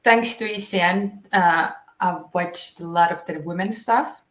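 A young woman from Estonia, an English speaker, chatting casually and a little haltingly in an interview. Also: on the low side, fairly bright tone. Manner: informal, slightly halting, giggly. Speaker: cricket player and coach. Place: small indoor room, seated on a couch. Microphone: shared video call microphone, at some distance. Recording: roomy echo on a video call.

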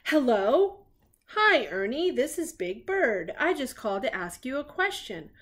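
A woman's voice: sits high, big pitch swings, measured pace, fairly bright tone, pitched above the performer's own voice, heavily acted. A woman voicing a friendly, innocent big bird puppet making a casual phone call in a storybook. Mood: friendly, coy.

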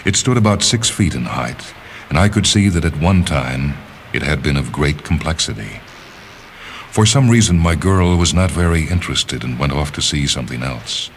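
A man narrating a literary short story from a script, with measured, deliberate phrasing and dramatic pauses. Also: a bass voice, slight background noise.

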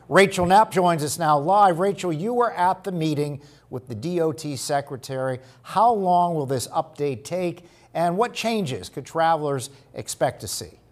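A man's voice: on the high side, expressive, a little slow. A middle-aged man presenting the news as a studio anchor and introducing a report. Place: television studio.

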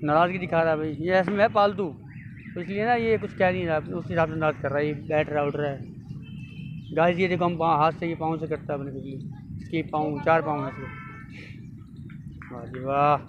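A young man with a fairly high voice, vlogging casually. He repeats himself and drops in English catchphrases. The phone sound is dull, with clear outdoor noise around him. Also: fairly even intonation, fairly quick, long pauses. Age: young adult. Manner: chatty, repeats phrases, mixes in English catchphrases. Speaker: vlogger, host. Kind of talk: casual outdoor vlog commentary. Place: outdoors in a public park. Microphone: phone at arm's length. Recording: dull lo-fi phone audio, noisy park.

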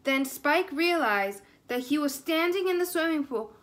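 A woman's voice: sits high, animated, normal speed, fairly bright tone. A woman in her twenties reading a children's story aloud with expression. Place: room at home, indoors.

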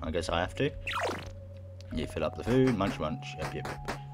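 A man's voice: low voice, expressive, normal speed. A young man reading game text aloud and reacting casually, as in a let's play. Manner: stumbles over words while reading. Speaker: gaming video host. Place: small room at home, indoors. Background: game music.

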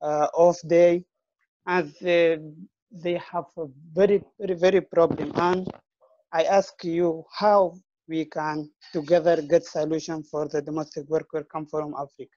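A man's voice: a little high, level tone, darkish tone, dry recording. Young man, broken English, dry muffled call line. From Sudan. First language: Arabic. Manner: halting broken English, searching for words. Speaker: audience member asking a question. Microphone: video call.

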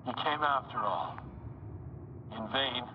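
An actor plays a weary male officer on a stricken spaceship in a sci-fi game. He speaks with resignation. The sound is dull and lo-fi, with clear noise behind it. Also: mid-range voice, slow pace, close to an everyday voice. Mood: resigned. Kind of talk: video game voice acting.

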